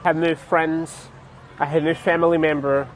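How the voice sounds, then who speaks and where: A young man from Cameroon chatting casually to his vlog viewers outdoors on a city street, laughing often and pausing with fillers. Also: a little high, level tone, poor audio. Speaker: vlogger. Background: faint street traffic.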